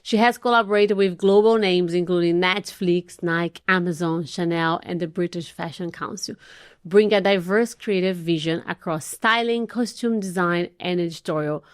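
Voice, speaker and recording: A woman hosts a podcast and interviews her guest with effusive enthusiasm. Her voice is smooth and resonant, set a little low. It is recorded dry and close. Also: moderate pace, no echo. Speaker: podcast host. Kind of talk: interview conversation.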